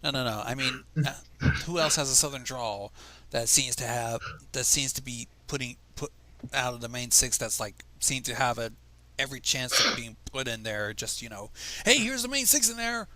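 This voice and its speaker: A man's voice: mid-range voice, steady tone, moderate pace, pushed and bright, poor audio. A man reading a story aloud with casual asides, stumbling over words and going back over them. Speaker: fan fiction reader.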